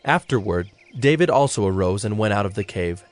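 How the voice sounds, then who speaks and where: A man reading scripted Bible story narration in a measured, solemn manner. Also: on the low side, expressive, faint music behind.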